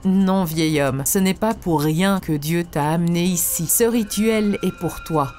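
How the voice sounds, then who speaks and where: A woman voicing a serene, earnest spiritual teacher in an animated story, instructing in a solemn, measured manner. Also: fairly low pitch, faint music behind, natural voice. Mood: firm, kind. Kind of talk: scripted didactic cartoon dialogue.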